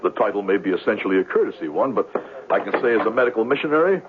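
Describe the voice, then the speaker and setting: A florid swindler from a 1970s radio drama, low in pitch and sweeping grandly up and down. He is theatrical and pompous, a fallen huckster posing as a doctor. The sound is tinny. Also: very animated, very fast, noisy background. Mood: grandly persuasive. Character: grandiloquent, down-on-his-luck male con man posing as doctor.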